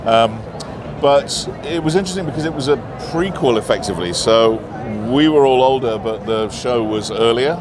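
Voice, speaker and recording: A man, an actor, chatting in an interview in an animated, joking, self-mocking way. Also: medium pitch, normal speed, hardly any pauses, crowd noise. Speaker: actor guest. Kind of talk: casual interview chat. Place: busy convention. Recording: noisy convention floor.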